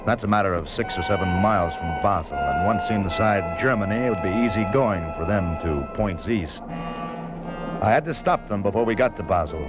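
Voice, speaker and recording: Wry, low-voiced American secret agent played by an actor. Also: male voice, lively intonation, normal speed, muffled recording, over loud music, lightly acted. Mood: grim, calculating. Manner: wry, nonchalant, teasing. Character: wry, cool male American secret agent, flippant under threat. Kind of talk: 1950s radio thriller acting, first-person narration and dialogue.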